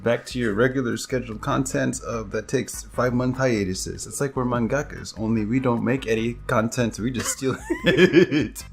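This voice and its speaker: A man's voice: mid-range voice, normal speed, creaky, hardly any pauses. A young man co-hosting with casual banter and wry, self-mocking commentary. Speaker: co-host.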